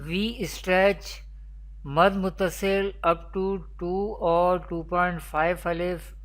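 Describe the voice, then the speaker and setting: A teacher delivers a scripted lesson on recitation. The pace is slow and measured. The manner is didactic, posing questions and restating the answers step by step. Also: mid-range voice, fairly even intonation. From Pakistan. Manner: didactic, repetitive.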